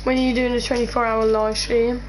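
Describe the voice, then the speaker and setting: A woman's voice: a little high, little pitch movement, brisk, a little hiss or hum, low-quality recording. A woman asking questions in a casual chat, hesitant and full of fillers and restarts.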